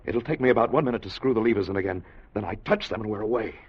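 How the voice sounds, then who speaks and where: An actor playing a bold, decisive scientist adventurer with a husky voice and urgent, clipped delivery in a 1950s radio drama. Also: male voice, lowish voice, animated, rapid-fire. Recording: muffled vintage radio recording.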